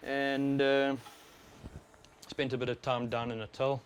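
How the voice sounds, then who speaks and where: A South African man hosts a live stream in a casual, explanatory way. His voice sits at an average male pitch. He talks informally into a worn wireless mic, with some ambient noise behind him. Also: a little slow. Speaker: livestream host, overclocker. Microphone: wireless worn microphone. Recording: clear steady background noise.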